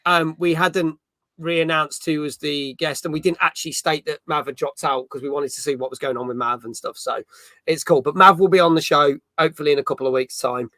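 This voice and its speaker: A man's voice: a little high, steady tone, brisk, bright, forceful tone, dry recording. A middle-aged man hosting a casual gaming chat and reading out chat messages in an upbeat, rambling way. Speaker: host.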